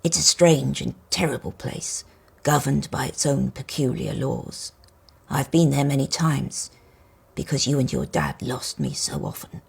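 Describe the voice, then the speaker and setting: A woman as rusty old male toy car, gravelly croak, deep, ominous, slow, storybook elder. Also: lively intonation, a little mellow, exaggerated voice. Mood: grave warning.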